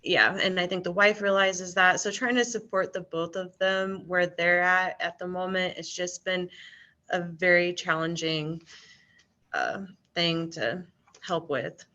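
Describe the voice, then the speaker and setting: A woman's voice: fairly low pitch, steady tone, unhurried, sharp tone, lo-fi sound. A woman care worker talking hesitantly about a resident in a case discussion over a video call. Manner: hesitant, many fillers. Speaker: care staff member.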